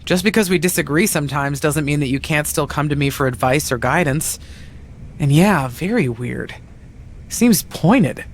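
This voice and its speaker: A woman's voice: sits low, moderate pace, a little hiss or hum. A woman playing a blunt, protective wrestling manager in a video game cutscene, speaking in a pointed, sardonic way. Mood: measured.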